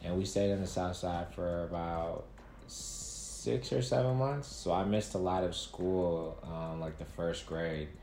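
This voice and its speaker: Deep, mellow man telling his story. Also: unhurried. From Nigeria. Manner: relaxed, many fillers and restarts. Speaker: guest. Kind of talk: casual interview storytelling. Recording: echoey room with faint noise.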